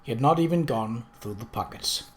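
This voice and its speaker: A man reading a novel aloud as scripted narration, in a dramatic and expressive way. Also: medium pitch, a little fast. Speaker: audiobook reader.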